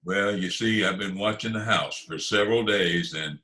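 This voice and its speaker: Male actor as impatient sardonic detective, old-time radio acting, gruff, forceful edge, roomy echo. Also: deep voice, normal speed. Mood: suspicious. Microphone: video call. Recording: roomy video call recording.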